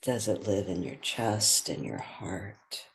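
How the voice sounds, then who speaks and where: A meditation guide with a soft, breathy voice, speaking gently and without hurry, leaving long pauses. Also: sits low, lively intonation, slight background noise.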